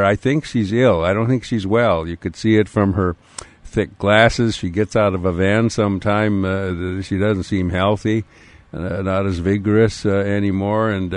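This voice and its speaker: An American man co-hosting casual political talk radio, speaking in a halting way with frequent uhs. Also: deep voice, darkish tone, no room sound. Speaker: radio co-host. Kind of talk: casual political talk, reading listener mail.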